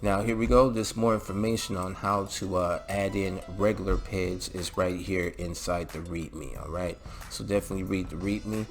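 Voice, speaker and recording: A man's voice: deep voice, fairly even intonation, normal speed, soft background music. A man narrating a casual step-by-step game tutorial, instructing and often checking in with the listener. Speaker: host of a game mod tutorial.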